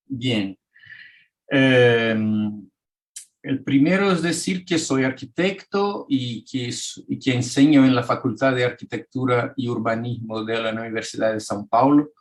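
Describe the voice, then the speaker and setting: A man with a Brazilian Portuguese accent giving an academic talk in a second language, hesitant, with many restarts and fillers, speaking over a video call. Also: mid-range voice. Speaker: architect and author presenting his book.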